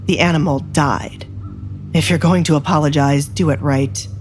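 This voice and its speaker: Lady doing a stern nobleman duke, blunt and protective, audio romance with soft music under. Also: low voice, fairly even intonation, normal speed, voice pushed down, natural voice.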